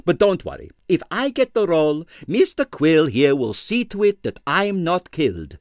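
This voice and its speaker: A cocky young male dancer, acted by a narrator, breezy and stubborn. His pitch sits high and moves about freely, in a dry storybook recording. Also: very animated, normal speed, dry recording. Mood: cheerfully obstinate. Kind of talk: audiobook character voice.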